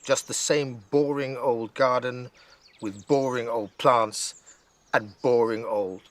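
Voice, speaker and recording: A man voicing a stubborn, sceptical little girl in a storybook, with an emphatic, expressive manner. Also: mid-range voice, takes it slowly, lightly acted. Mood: exasperated.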